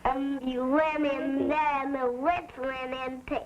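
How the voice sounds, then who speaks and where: High, slow young female voice, muffled old film. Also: fairly even intonation. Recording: dull old film sound, faint noise.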